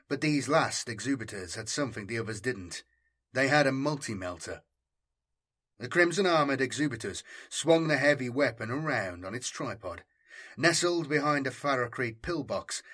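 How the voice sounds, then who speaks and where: A man's voice: medium pitch, fairly bright tone, slightly rough. A man reading military science fiction as an audiobook narrator, in a scripted, dramatic storytelling style.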